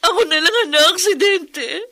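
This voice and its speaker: Sobbing, guilt-stricken wife. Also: female voice, very high-pitched, normal speed. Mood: sobbing remorse. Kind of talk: melodramatic Tagalog radio drama acting.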